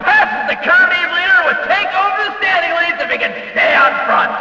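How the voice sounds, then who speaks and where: A man playing an excitable, brash race announcer in a video game, calling the action with emphatic, hyped-up shouts. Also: extremely high pitch, brisk, a faint crowd, heavily acted. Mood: hyped, urgent. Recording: echoey reverberant announcer sound, distant.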